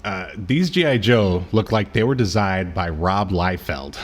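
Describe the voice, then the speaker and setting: A man's voice: sits low, expressive, measured pace. Man chatting casually as podcast co-host. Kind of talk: casual media review chat.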